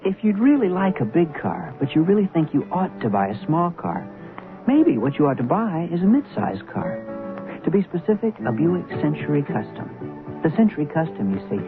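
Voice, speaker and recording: An American man reading a 1970s radio car commercial in a conversational, persuasive way. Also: on the high side. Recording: tinny old radio broadcast, music behind.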